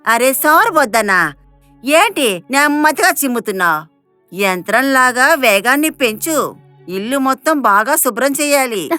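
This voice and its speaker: A woman voicing a harsh, contemptuous lady of the house in an animated moral story, bossy and berating. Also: high voice, a little slow, no room sound, faint music behind, heavily acted.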